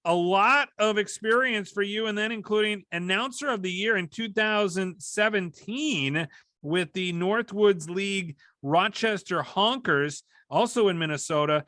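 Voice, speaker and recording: A man's voice: sits high, measured pace, sharp tone, dry recording, studio quality. A man hosting a podcast interview in a conversational way. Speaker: podcast host and hockey broadcaster.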